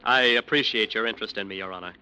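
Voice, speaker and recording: A self-justifying lawyer talks smoothly in a low, very bright voice. He turns defensive when he is pressed. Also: quick pace, highs cut off. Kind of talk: 1940s radio drama acting.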